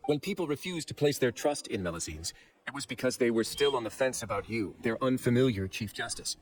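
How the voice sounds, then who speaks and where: A man voicing a shrewd, composed prison fortress administrator in an anime game, courteous, smooth-talking and deliberate. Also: mid-range voice, animated, slight background noise, natural voice. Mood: earnest, assured. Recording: faint background music.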